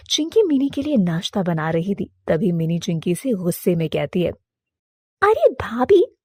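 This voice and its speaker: Lively woman storyteller. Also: medium pitch, breathy edge, dry recording. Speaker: narrator. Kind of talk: storytelling narration.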